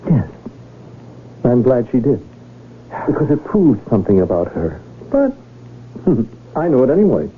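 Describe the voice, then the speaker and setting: Courtly, animated rich gentleman, tinny radio. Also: medium pitch. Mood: assured, pleased. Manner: formal, florid, deliberate speech. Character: lonely rich gentleman, shrewd, courtly and happily in love. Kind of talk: 1970s radio drama acting. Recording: tinny, noisy old radio broadcast.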